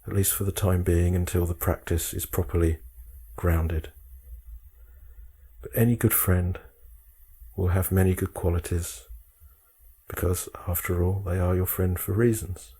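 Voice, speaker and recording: A man leads a guided meditation in a deep, dark voice. The tone is husky and relaxed. He delivers calm instructions in short, measured phrases, leaving space between them.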